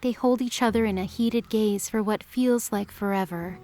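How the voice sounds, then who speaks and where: A woman's voice: mid-range voice, moderate pace, a little mellow. A woman narrator reading scripted dramatic story narration in an audio drama.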